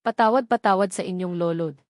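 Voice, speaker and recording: A woman's voice: fairly low pitch, brisk, a little mellow, no echo, studio quality, close to an everyday voice. A woman voicing a remorseful old grandfather who pleads emotionally for forgiveness in an audio story. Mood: remorseful pleading.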